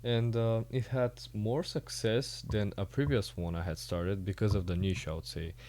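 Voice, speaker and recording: A man with a Bulgarian accent hosts a podcast in English, his native tongue being Bulgarian. His voice is low and mellow. He talks casually and hesitantly, stopping often on "uh" as he gathers his thoughts. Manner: hesitant, many "uh" fillers. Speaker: podcast host.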